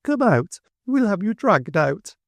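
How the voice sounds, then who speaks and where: A man reading aloud and voicing a pompous, proper middle-aged woman who is triumphant and officious, in a high, overbearing voice. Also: sing-song, a little slow, creaky, dry recording, full character voice. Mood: commanding, excited. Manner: shrill, high, stately and overbearing.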